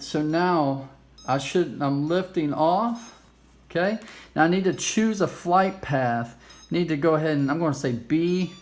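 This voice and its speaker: A man hosting a casual hands-on game demonstration, explaining things informally with a self-amused, nerdy enthusiasm. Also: fairly high pitch. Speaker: host of a retro game play-through video. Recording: clearly noisy amateur recording.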